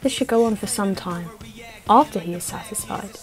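A woman acting as narrator of a radio play, reading scripted stage directions in a measured, matter-of-fact way. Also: mid-range voice, soft background music, natural voice.

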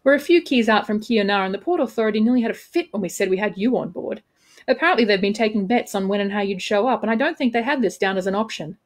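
A woman voicing a cheerful, cheeky spaceship rescuer of about thirty, warm and breezy, in a story reading. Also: medium pitch, fast, lightly acted.

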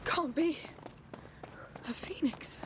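A woman's voice: high-pitched, takes it slowly, background noise. An actress playing a frightened but determined young woman in a video game, breathless and anguished as she searches for her brother. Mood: dazed, muttering. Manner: breathless, anguished, muttering to herself and calling out. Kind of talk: dramatic video game acting.

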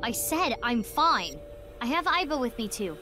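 A woman's voice: on the high side, big pitch swings, a little slow, pushed and bright, heavily acted. A woman playing a feisty, impatient teenage girl investigator in an anime-style video game, speaking in a blunt, exclamatory way. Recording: music behind the voice.

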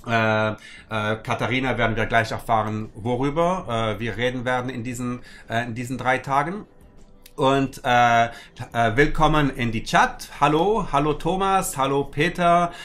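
A man in his forties hosting a livestream from a dark studio, speaking hesitant German full of fillers and restarts. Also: medium pitch, moderate pace. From United States. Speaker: host.

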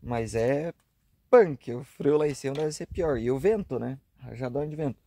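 A man's voice: medium pitch, moderate pace, dark tone. Easygoing southern Brazilian man vlogging outdoors. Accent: southern Brazilian, Rio Grande do Sul. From Rio Grande do Sul, southern Brazil. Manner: chatty and easygoing, with frequent tag questions. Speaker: travel vlogger. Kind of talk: casual vlog narration. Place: outdoor campsite on a grassy hilltop, earlier inside a car at night. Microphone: camera held at arm's length.

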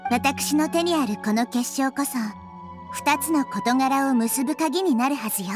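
A woman voicing a wise, gentle, childlike nature goddess in an anime game, explaining things with composure. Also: sits high, measured pace, music in the background. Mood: thoughtful. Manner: composed, explanatory, feminine phrasing. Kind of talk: Japanese anime game voice acting.

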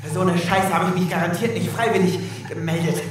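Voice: medium pitch, steady tone, a little fast, close to an everyday voice. An actor playing a sarcastic, hot-tempered, exasperated test subject in an audio drama, given to grumbling and swearing. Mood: furious. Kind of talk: scripted audio drama acting. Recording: very echoey, distant, music underneath.

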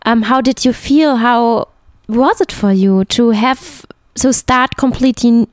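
A woman co-hosts a podcast and asks her guests questions in a measured, polite way. Her voice is a little high and smooth, recorded dry and close. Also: dry recording. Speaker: podcast co-host. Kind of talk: casual interview talk.

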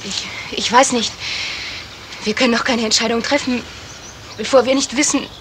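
A woman's voice: on the high side, fairly even intonation, normal speed, close to an everyday voice. A woman playing an anxious, wounded young suspect in a 1970s radio crime drama, fretful and earnest. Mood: hurt. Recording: noisy vintage radio play recording.

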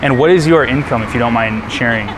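A man's voice: mid-range voice, fast talker, noisy background. A man doing casual vox-pop interviews as a street interviewer, outdoors in the city.

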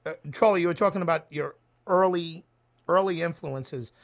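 A man hosting a radio talk show, interviewing conversationally and feeling his way haltingly into each question. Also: on the high side.

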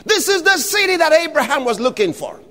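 A man preaching an impassioned sermon, shouting scripture with long drawn-out phrases. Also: very high-pitched, big pitch swings, big-room sound.

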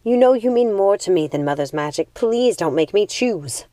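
Earnest young witch female audiobook reader, pleading, dutiful, soft mellow tone, dry recording. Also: medium pitch, lively intonation, moderate pace, no echo, close to an everyday voice. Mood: resigned, pleading. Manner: pleading, insistent. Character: earnest, devoted young witch torn by duty.

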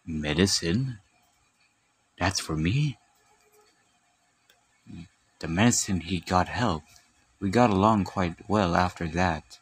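Man doing a low, sighing lonely young hero. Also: slow, long pauses, husky, full character voice. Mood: weary, lonely. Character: sickly lonely young hero, brooding in the snow. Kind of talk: amateur comic dub.

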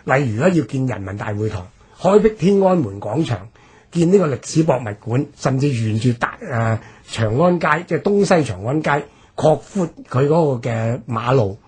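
A man with a Hong Kong Cantonese accent, explaining history as a radio presenter with drawn-out phrase endings. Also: mid-range voice, lively intonation, a little mellow, husky.

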